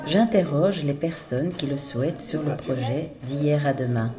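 A woman reporter narrating a scripted scene-setting passage in a calm, even way. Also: low-pitched, moderate pace, crowd noise.

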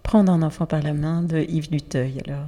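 A woman's voice: low voice, fairly even intonation, moderate pace, mellow, long reverb tail, a little hiss or hum. A woman thanking partners and introducing a podcast, hesitating with many fillers. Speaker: project leader. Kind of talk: thank-you speech and introduction.

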